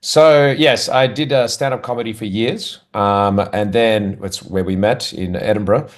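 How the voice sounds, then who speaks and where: A man's voice: lowish voice, a little slow. A young adult man with an Australian accent, chatting casually as a guest and talking about himself with an easy, self-deprecating humor that breaks into laughs often. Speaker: guest, comedian. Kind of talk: casual conversational interview.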